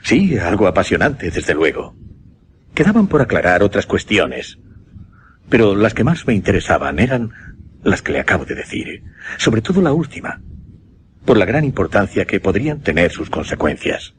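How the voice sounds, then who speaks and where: An actor playing an earnest gentleman mesmerist in a suspense radio drama, formal and deliberate. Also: fairly low pitch, big pitch swings, slight background noise. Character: earnest gentleman mesmerist recounting his uncanny experiment.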